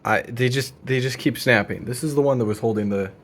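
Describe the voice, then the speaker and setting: A young man talking casually and deadpan as he narrates a hands-on repair, with slangy, wry delivery. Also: medium pitch, fairly quick, noisy background. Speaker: video creator. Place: indoor room at a desk.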